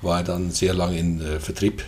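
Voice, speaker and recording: Older Dutch-accented man, deep and halting, throat-clearing guest in casual chat over light noise. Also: level tone, darkish tone. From Netherlands. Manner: hesitant, many fillers and throat clearing. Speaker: guest, festival co-manager.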